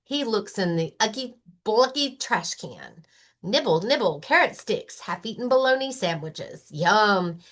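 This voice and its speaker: Slow, animated woman reading to children. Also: medium pitch. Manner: deliberate, emphatic phrasing with playful sound words. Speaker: librarian reading aloud. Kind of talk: expressive children's story narration.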